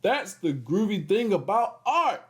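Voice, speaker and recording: A man reads a children's picture book aloud in a high, very lively voice. He takes his time with the story and drops in casual, encouraging comments as he goes. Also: unhurried. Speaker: host of a children's read-aloud series.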